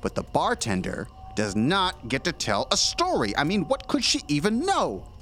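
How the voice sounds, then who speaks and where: A woman's voice: deep voice, wildly swooping pitch, moderate pace, a bit bright, soft background music, exaggerated voice. A woman playing an indignant, suspicious member of a storytelling group in an audio drama, speaking emphatically. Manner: emphatic, protesting.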